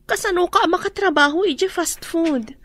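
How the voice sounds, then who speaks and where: A woman's voice: extremely high pitch, brisk, fairly bright tone, no echo. An actress playing an anxious, polite young woman in an Ilocano radio drama, with an Ilocano accent. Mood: worried.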